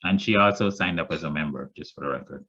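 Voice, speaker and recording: A man with a very low voice chairs an online meeting and passes the turn from speaker to speaker. His audio is dull and lo-fi, as it often is on a video call. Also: fast talker, fairly bright tone, husky.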